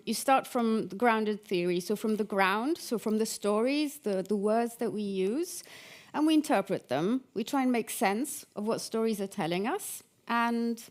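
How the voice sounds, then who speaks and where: A woman's voice: mid-range voice, a little mellow. A middle-aged woman who grew up in Italy and the UK, a professor giving a conversational conference talk with frequent fillers and restarts. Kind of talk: academic conference presentation.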